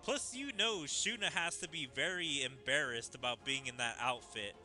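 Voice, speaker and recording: A man's voice: mid-range voice, moderate pace, pushed and bright, faint music behind. A man giving casual gaming commentary, thinking aloud in a musing way as he follows a story. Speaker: gaming video creator.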